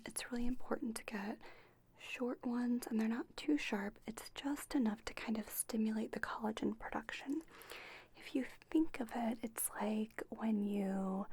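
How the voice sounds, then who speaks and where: A woman softly whispering an ASMR roleplay as a spa owner, giving gentle, patient step-by-step instructions. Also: fairly high pitch, a little hiss or hum.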